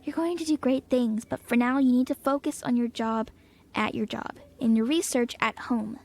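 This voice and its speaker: A woman voicing a gentle, motherly, matter-of-fact twelve-year-old girl in an audio drama. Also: on the high side, normal speed. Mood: fond, reassuring.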